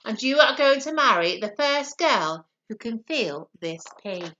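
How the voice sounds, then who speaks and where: A woman's voice: fairly high pitch, lively intonation, a little slow, bright, forceful tone, muffled recording, a little hiss or hum. A woman voicing a bossy, nagging older queen and mother from a storybook, imperious, proper and emphatic. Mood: commanding. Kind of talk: storybook character voice.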